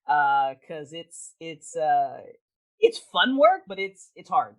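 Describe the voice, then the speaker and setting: A woman talking casually as a podcast guest, with an animated, emphatic, informal way of speaking. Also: deep voice, takes it slowly. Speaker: podcast guest, audio drama creator. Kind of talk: casual interview chat.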